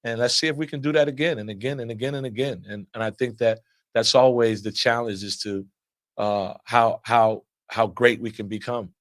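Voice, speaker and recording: A man's voice: mid-range voice, moderate pace, dry recording. Earnest man coach with frequent restarts. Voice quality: loud voice. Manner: repeats words often while building answers, steady and earnest. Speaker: assistant basketball coach. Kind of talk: press conference answers.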